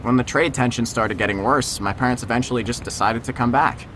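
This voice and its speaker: A man's voice: mid-range voice, fairly quick, pushed and bright, slight background noise, natural voice. A man playing an easygoing, protective teenage boy in an audio drama, casual and conversational. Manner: casual, hesitant, reassuring. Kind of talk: naturalistic audio drama acting.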